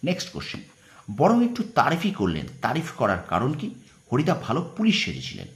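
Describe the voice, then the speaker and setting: A man's voice: mid-range voice, wildly swooping pitch, quick pace. A man teaching an informal exam-prep lesson, instructive and emphatic, repeating points and often checking that students follow. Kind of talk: informal exam lesson, reading out questions and answers.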